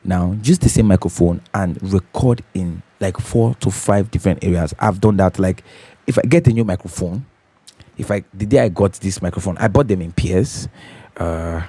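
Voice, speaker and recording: A man with a deep, bassy voice hosting a home recording tutorial, talking casually and repeating his key points as he checks in with viewers. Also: warm tone, slightly rough, no room sound. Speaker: host of a home recording channel. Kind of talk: casual tutorial talk to camera. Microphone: studio condenser microphone.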